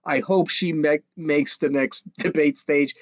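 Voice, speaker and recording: A podcast host, a man with a fairly high voice, rants in a casual, scoffing way, tripping over restarts as he mocks what he has just played. He is recorded close and dry, with no room sound at all. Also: steady tone, measured pace, no room sound. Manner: mocking, scoffing, rambling with restarts. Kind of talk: casual opinionated rant.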